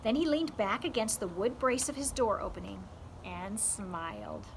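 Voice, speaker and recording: A woman reading a story aloud as a host, with expressive, deliberate and dramatic storytelling delivery. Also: medium pitch, a little hiss or hum, poor audio. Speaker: read-aloud host for a youth scouting program. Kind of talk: expressive audiobook-style read-aloud narration.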